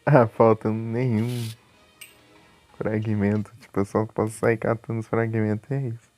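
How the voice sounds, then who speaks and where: A man's voice: lowish voice, level tone, measured pace, darkish tone, no room sound, soft background music. A man with a Brazilian accent giving casual, chatty live commentary as a video game streamer, thinking aloud informally.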